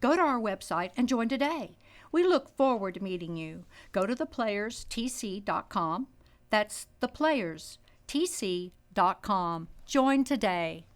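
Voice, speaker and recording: A woman's voice: medium pitch, animated, measured pace. A woman announcer delivering a scripted promotional appeal, inviting listeners in a community spot.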